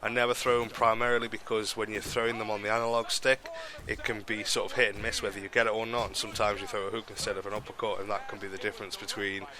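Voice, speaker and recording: A man's voice: lowish voice, flat delivery, fast, hardly any pauses, crowd noise. A young man with a stuffy, nasal voice from a cold, giving rambling commentary on a boxing video game. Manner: rambling, many ums and restarts. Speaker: gaming commentator. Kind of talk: casual gameplay commentary.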